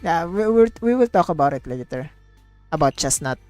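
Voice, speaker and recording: Lower-voiced gushing woman game streamer. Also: normal speed, no echo. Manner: gushing and excitable, exclaims and repeats herself. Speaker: streamer playing a video game. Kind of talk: live game stream commentary, reading dialogue aloud. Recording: background game music. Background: game music.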